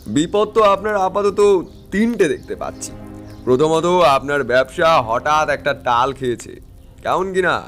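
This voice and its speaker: An actor playing a shrewd, self-assured tantric fortune-teller, narrating and speaking with knowing authority in an audio drama. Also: male voice, a little high, lively intonation, a little slow, fairly bright tone, faint music behind. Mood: confident, pronouncing. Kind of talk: dramatised first-person story narration.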